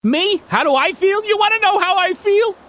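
A woman's voice: very high voice, animated, moderate pace, a bit bright, no echo. A woman voicing a fretful, wisecracking little flying robot in a cartoon game. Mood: exasperated. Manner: comically fretful.

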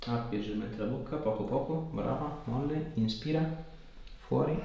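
A man working as a chiropractor, giving short, gentle instructions and explanations to a patient. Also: medium pitch, moderate pace, creaky, muffled recording. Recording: echoey room, distant mic, background noise.

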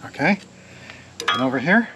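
A man's voice: medium pitch, very animated, normal speed. Chatty man fixing a sawmill outdoors. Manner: chatty, laughs easily, talks while working. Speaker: sawmill owner showing repairs. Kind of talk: casual hands-on explaining. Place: outdoors in a wooded yard beside a sawmill. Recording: outdoor recording with clear background noise.

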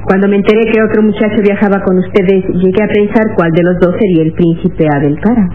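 A woman's voice: mid-range voice, steady tone, fast, close to an everyday voice. Woman acting a cold, menacing female assassin. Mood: menacing, smug. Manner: cool, commanding, menacing, crisp statements. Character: Marilyn, beautiful, cold-blooded female assassin holding captives. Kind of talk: Spanish-language radio serial acting. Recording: tinny old radio serial recording.

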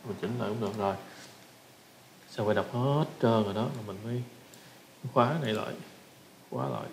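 A man with a Southern Vietnamese accent giving a casual software tutorial, often repeating short phrases as he demonstrates. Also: on the low side, lively intonation, fairly quick, creaky. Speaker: tutorial instructor. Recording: roomy echo with background noise.

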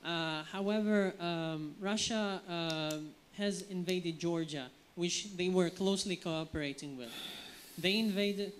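A man from Ukraine speaks into a handheld mic from a theatre audience, pausing often and filling gaps with ums. Faint room ambience sits behind his voice. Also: sits high, slight background noise. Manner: hesitant, many fillers. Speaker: audience member.

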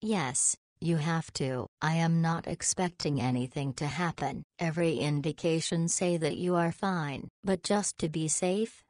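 A woman acting a polite young doctor in a visual novel. Her voice is very low for a woman and slow, formal and reserved, with a dry studio sound. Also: a little mellow, dry recording. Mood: clinical, reassuring. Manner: formal, precise, measured.

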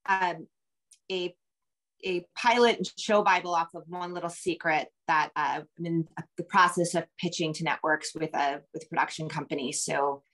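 A woman's voice: mid-range voice, fairly bright tone. A middle-aged American woman speaking as an author guest on a video call, explaining casually and often circling back to rephrase. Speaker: novelist and screenwriter guest. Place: room with bookshelves, indoors.

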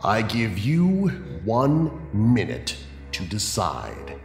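A man's voice: fairly low pitch, wildly swooping pitch, drawn-out words, soft background music, heavily acted. An actor playing an imperious king who makes formal proclamations in a horror audio drama. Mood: regal, commanding. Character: imperious male king granting cruel games. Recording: echoey hall with long reverb.

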